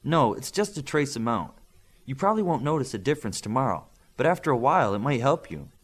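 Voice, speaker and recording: Male narrator playing young woman healer, audiobook superhero, higher pitched, bossy, clinical, brisk. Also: very animated, breathy edge. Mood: explaining. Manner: brisk, matter-of-fact explaining and scolding.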